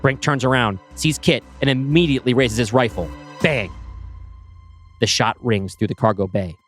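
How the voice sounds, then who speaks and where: A man reading his screenplay aloud, with brisk scene-setting narration. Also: mid-range voice, faint music behind. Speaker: writer pitching a screenplay.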